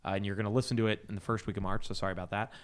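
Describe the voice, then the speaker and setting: A man's voice: fairly low pitch, steady tone, very fast, clean tone. A man co-hosting a podcast, moderating a casual group chat. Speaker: podcast co-host moderating a panel.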